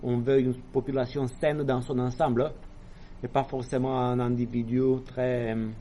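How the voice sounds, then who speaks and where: A man's voice: mid-range voice, level tone, moderate pace, mellow, slight background noise. A man giving a university lecture, explaining step by step with hesitations, apologetic asides and small jokes.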